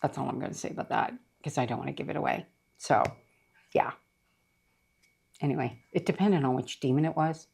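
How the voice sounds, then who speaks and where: A middle-aged woman chatting casually about books she read, her voice rough after being sick, rambling with lots of fillers. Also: rumbling low, brisk, long pauses, darkish tone. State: voice rough from recent illness. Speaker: book reviewer. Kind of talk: casual book review chat.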